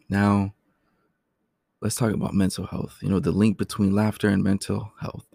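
Man, raspy and gravelly, low-pitched, chatty solo host who keeps clearing his throat. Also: flat delivery, a little slow, darkish tone, dry recording, studio quality. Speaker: podcast host. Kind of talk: casual solo talk.

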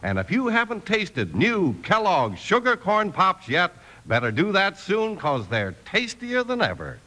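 A man's voice: high-pitched, wildly swooping pitch, measured pace, pushed and bright. A man announcing and narrating an old-time radio show in a hearty, emphatic scripted style. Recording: old radio recording with music underneath. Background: music.

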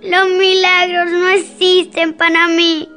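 A little blind girl in a radio play, with a very high, slow voice. She is tender and emotional, pleading with drawn-out words over faint music. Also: flat delivery, bright, forceful tone, full character voice. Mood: crying, despairing. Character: blind young girl, tender, hopeful, then heartbroken. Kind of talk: Spanish-language radio drama acting.

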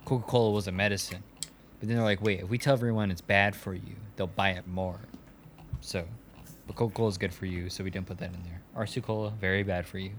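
A man's voice: low voice, fast, slight background noise, natural voice. A man playing a smug rich drug company executive. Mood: smug deadpan. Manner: deadpan, absurd, giggly. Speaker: podcast guest doing a bit.

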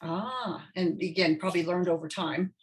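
A woman's voice: lowish voice, normal speed, over a noisy crowd, low-quality recording. A woman hosting a career podcast, chatty and affirming, sharing her own anecdotes with her guest. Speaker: podcast host. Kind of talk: conversational interview.

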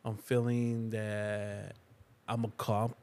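A man co-hosting a podcast, relaxed and easygoing, often trailing off mid-thought. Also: fairly low pitch, slow pace. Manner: easygoing, says "dude" a lot, trails off. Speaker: podcast co-host. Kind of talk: casual chat.

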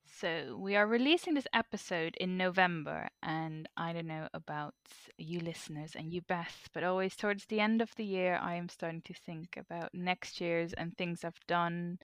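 A woman's voice: fairly low pitch, moderate pace, few pauses, fairly bright tone, no echo. A woman whose first language is Dutch, co-hosting a podcast in a chatty, laughing way. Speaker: podcast co-host. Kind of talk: casual podcast chat.

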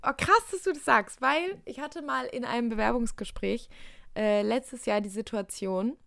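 A woman's voice: a little high, moderate pace, vocal fry, fairly bright tone, dry recording, studio quality. A young woman co-hosting a podcast, talking casually and thinking aloud with fillers and restarts. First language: German. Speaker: podcast co-host. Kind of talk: casual chat.

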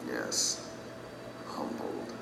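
An actor playing a humbled, regretful former teacher in an audio drama, speaking solemnly and confessionally. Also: male voice, extremely slow, far from the mic, noisy background. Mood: quiet sorrow. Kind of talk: allegorical audio drama acting. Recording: echoey hall, music behind, off mic.

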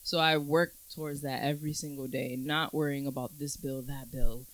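A woman's voice: extremely low pitch, fairly even intonation, rough recording. Earnest reflective young woman. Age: 20s. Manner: reflective, earnest, drawn-out phrasing. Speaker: podcast co-host. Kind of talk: casual chat.

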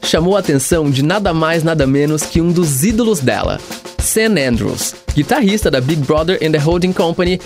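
A man delivers scripted documentary narration in a relaxed manner, dropping in casual asides. His voice sits a bit high for a man. Faint music with drums runs underneath. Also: normal speed.

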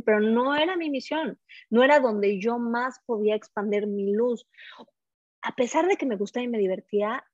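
A woman's voice: medium pitch, a little mellow, no echo. A woman with a Mexican accent, telling personal stories casually and expressively as a podcast guest. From Mexico City, Mexico. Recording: dull lo-fi microphone sound.

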